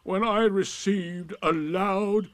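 A man's voice: high voice, steady tone, slow pace, dry recording, voice pushed up. A man voicing a gloomy, sulky old male donkey in a storybook reading, with a mournful, sarcastic drawl.

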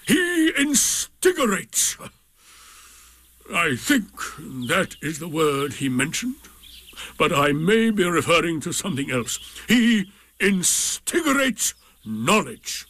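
A man voicing a gloomy, scornful old donkey in a storybook, with slow and solemn pronouncements. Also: high-pitched, a bit bright, no echo, pitched above the performer's own voice, full character voice.

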